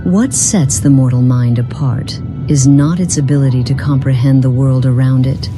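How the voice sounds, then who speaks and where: A woman's voice: a bass voice, steady tone, music in the background. A woman voicing a lonely, long-lived otherworldly being in a role-playing game, speaking in formal, philosophical phrases. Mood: grave, musing. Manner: formal, philosophical, measured wording. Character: weary, long-lived, lonely woman from beyond the mortal world.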